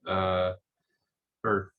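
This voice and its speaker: Low-voiced, slow-talking man in his thirties. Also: monotone, phone-quality sound. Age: 30s. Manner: hesitant, unsure of wording. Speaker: panelist. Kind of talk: casual self-introduction to a round table.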